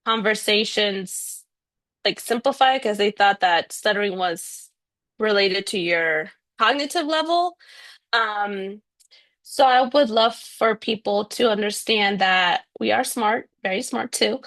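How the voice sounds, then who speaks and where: Young woman slp, kinda high voice, earnest, lots of ums. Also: fairly bright tone. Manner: earnest, speaks of stuttering, fillers like um and you know. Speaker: bilingual speech-language pathologist, guest. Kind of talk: interview answers.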